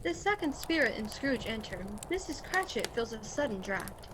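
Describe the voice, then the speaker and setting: High-voiced woman narrating a radio play. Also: very animated, normal speed, background noise. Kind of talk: scripted radio play narration of stage directions. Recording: faint music, home video-call recording.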